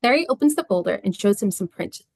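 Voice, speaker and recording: A woman's voice: medium pitch, animated, fast, gravelly, dry recording. A woman narrating the stage directions of a screenplay at a table read.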